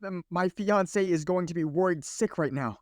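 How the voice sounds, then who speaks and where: A man playing a skeptical, bewildered office worker in his twenties in an audio play, halting and exasperated. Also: high voice, no room sound, studio quality, natural voice. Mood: pleading, exasperated. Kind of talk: naturalistic audio play acting.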